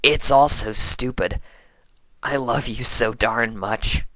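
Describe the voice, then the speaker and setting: Man acting female cartoon pony, brash, lovestruck, strained rasp, gushing first-person storytelling, unhurried, close dry recording. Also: mid-range voice, fairly bright tone, dry recording, rough recording. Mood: smitten, flustered. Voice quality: strained, put-on raspy voice. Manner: halting, gushing, smitten. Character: brash, lovestruck young female pegasus pony, tomboyish and teasing. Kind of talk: first-person fan fiction reading in character.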